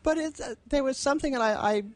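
A woman's voice: high-pitched, fast, no room sound. Woman radio co-host, dry close sound. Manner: amused, chuckling as she talks. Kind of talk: casual radio chat. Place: radio station studio.

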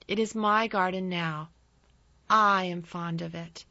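Woman as an imperious young boy, bright-voiced. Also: fairly low pitch, fairly even intonation, slow pace, no room sound, lo-fi sound, full character voice. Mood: commanding. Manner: lordly, decisive. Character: imperious, decisive young invalid boy, lordly.

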